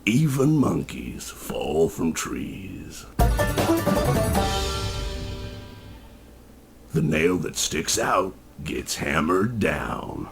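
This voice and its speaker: An actor playing a menacing, mocking animatronic sage in a video game, reciting proverbs in a deliberate, dry, taunting way. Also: male voice, sits low, sing-song, vocal fry, over loud music, heavily acted. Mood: ominous. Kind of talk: video game character lines.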